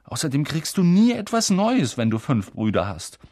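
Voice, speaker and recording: A man's voice: fairly high pitch, very animated, moderate pace, darkish tone, no echo, clean studio sound, voice pushed up. A man voicing a curious, shy eleven-year-old boy who talks in eager bursts. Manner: eager, blurting.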